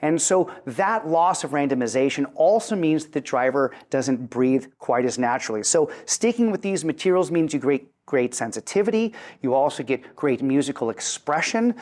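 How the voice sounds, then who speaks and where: A man's voice: mid-range voice, animated, moderate pace, few pauses, darkish tone. A man speaking as a product representative in an interview, articulately explaining technical details with rhetorical questions. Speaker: company representative presenting a product.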